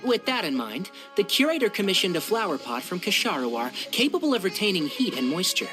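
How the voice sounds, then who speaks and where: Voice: medium pitch, big pitch swings, a little fast, music in the background, natural voice. An actor's game voice for a knowledgeable, busy young male plant expert, articulate and explanatory. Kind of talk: video game voice acting.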